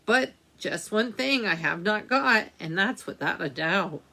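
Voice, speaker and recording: A woman's voice: mid-range voice, animated, unhurried, creaky, sharp tone, full character voice. A woman voicing an emphatic, chatty female fairy shopkeeper in a children's storybook, exclaiming and stretching her words. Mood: emphatic, apologetic.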